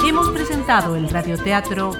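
A woman with a Chilean accent reading scripted documentary narration for a radio drama in a formal, measured way. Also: medium pitch, very animated, over loud music.

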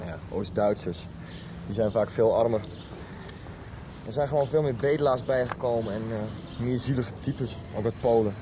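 A man's voice: medium pitch, moderate pace, noisy background, lightly acted. An actor playing a grumpy man who complains dismissively about his city. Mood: grumbling. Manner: grumbling, dismissive. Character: grumpy young man living in Berlin. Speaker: character in a radio soap.

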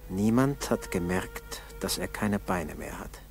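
A man narrating a 1960s German school radio play in a solemn, measured, scripted style. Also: low voice, expressive, music in the background. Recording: 1960s radio tape with background noise.

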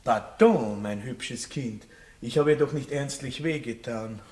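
An actor playing a boorish, lecherous country baron who is full of himself, hemming with fillers in a 1960s radio play. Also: male voice, medium pitch, takes it slowly, darkish tone, natural voice. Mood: pompous. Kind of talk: 1960s radio drama acting.

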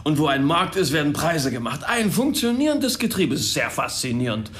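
A man's voice: on the high side, very animated, normal speed, fairly bright tone. A man acting in a radio crime drama as a smug, jovial, crooked businessman with a wry, self-satisfied manner.

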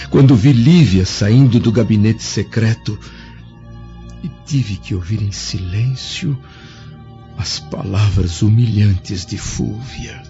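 Deep, mellow, proud Roman senator. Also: male voice, creaky. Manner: solemn, formal, measured delivery. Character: proud, austere Roman senator, grieving middle-aged father. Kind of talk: dramatic radio drama monologue. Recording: dull band-limited audio with music behind.

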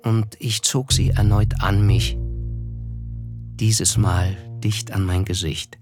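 An actor playing a reflective, wry young man who narrates his memories in a measured, lyrical radio play style. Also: fairly low pitch, fairly even intonation, music in the background. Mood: tender, lyrical.

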